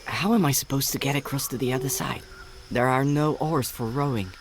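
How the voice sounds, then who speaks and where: A man's voice: mid-range voice, a little fast, a little hiss or hum, close to an everyday voice. A man playing an earnest, weary young boy hero in a video game, with music and night insects behind him. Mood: uneasy. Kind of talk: video game character acting.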